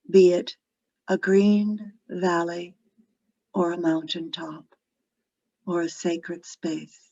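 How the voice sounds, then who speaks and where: A woman leading a guided meditation in a gentle, soothing, unhurried way, phrase by phrase with long pauses. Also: on the low side. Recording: dull lo-fi audio, roomy echo.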